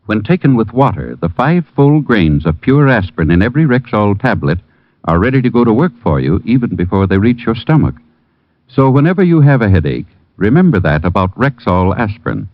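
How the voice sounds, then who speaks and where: Low-voiced vintage radio ad man. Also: sing-song, fairly quick, no room sound. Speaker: sponsor's commercial announcer. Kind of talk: scripted vintage radio advertisement. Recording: dull, dry vintage radio recording.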